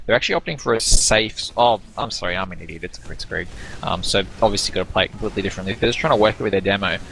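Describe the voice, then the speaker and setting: Analytical male game caster, low voice. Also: very fast, gravelly, fairly bright tone, a little hiss or hum, poor audio. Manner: analytical, stumbling restarts. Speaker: esports caster. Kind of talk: game commentary.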